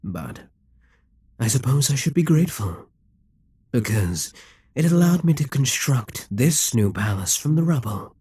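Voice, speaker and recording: A man's voice: medium pitch, sing-song, a little mellow. Guy doing a scheming demon king, possessive, mocking, takes his time with every word, audio roleplay vibe. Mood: gloating. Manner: slow, menacing, mocking.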